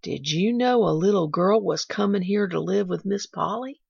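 A woman's voice: mid-range voice, normal speed, vocal fry, darkish tone, slightly rough, dry recording, heavily acted. A woman reading the part of a feisty, resentful housemaid who talks in plain country grammar, on a dull lo-fi recording. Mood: scornful. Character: feisty young maid, resentful and blunt.

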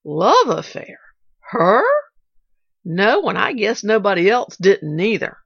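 A woman's voice: fairly low pitch, animated, unhurried, vocal fry, husky, no room sound, exaggerated voice. A woman reading the part of a feisty, resentful housemaid who talks in plain country grammar, on a dull lo-fi recording. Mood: scornful. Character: feisty young maid, resentful and blunt.

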